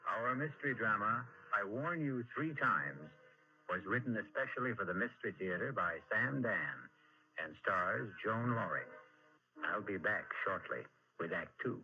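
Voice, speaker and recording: Low, gravelly male mystery host. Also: animated. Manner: deliberate, wry storytelling. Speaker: host of a radio mystery drama series. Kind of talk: dramatic scripted radio narration. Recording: dull vintage radio recording, music behind.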